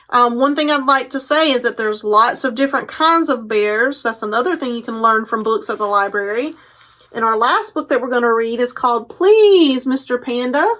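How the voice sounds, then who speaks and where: American lady doing storytime for little kids, highish voice, explains stuff simply and asks questions, bit of music. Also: a bit bright. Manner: simple questions and explanations aimed at young children. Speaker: children's librarian hosting storytime. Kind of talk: children's storytime presenting.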